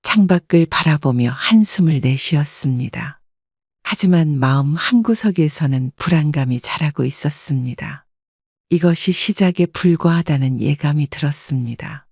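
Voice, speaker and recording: A woman narrating a first-person life story in a calm, measured, reflective way. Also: low-pitched, no room sound, clean studio sound.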